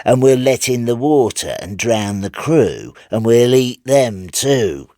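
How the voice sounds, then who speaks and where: A small, menacing talking rat acted by a male reader from a storybook tale. It speaks slowly and insolently, a little raised in pitch, stretching out its taunts. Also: animated, mellow, dry recording, studio quality. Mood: taunting.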